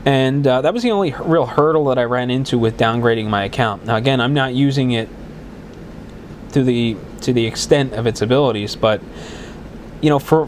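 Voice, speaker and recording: A man's voice: mid-range voice, a little mellow, a little hiss or hum. Congested man hosting a casual podcast. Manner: rambling, many restarts and fillers. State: congested with a cold, voice slightly worn. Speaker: podcast host. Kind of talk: casual solo podcast talk.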